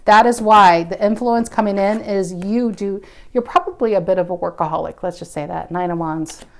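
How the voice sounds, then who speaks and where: A woman's voice: medium pitch, normal speed. A woman in her mid-forties giving a casual tarot reading, thinking aloud in a musing, intuitive way with frequent restarts. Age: 40s. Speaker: tarot reader.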